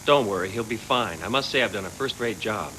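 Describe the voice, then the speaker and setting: A man's voice: on the low side, fairly quick, background noise, lightly acted. A man voicing a reassuring, self-satisfied cyber-doctor in an anime dub. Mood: reassuring, pleased. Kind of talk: dubbed anime voice acting. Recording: music behind, old VHS tape dub.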